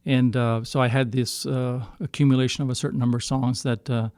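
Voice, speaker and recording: A middle-aged man from Canada telling a story casually as a guest, halting and often circling back to rephrase. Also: mid-range voice, little pitch movement, darkish tone, no echo. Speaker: singer-songwriter guest. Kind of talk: casual storytelling in a podcast interview.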